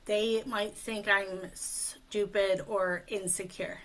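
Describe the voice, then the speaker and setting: A woman speech coach leading a guided tapping session, speaking slowly and calmly in short phrases for a client to repeat, over a video call. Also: medium pitch, crowd noise, rough recording. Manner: slow, calm, leads phrases for repetition.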